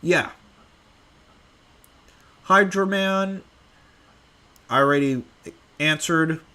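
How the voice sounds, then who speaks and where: A man's voice: a little high, very slow, bright, forceful tone, clean tone. A young man casually answering fan questions at home, rambling with lots of fillers. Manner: rambling with many fillers, offhand. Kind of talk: casual live Q&A.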